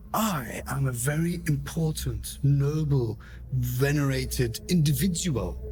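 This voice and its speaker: A man voicing a pompous, self-important villainous marquis in a radio play, grandiose, deliberate and menacing. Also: mid-range voice. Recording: music behind the voice.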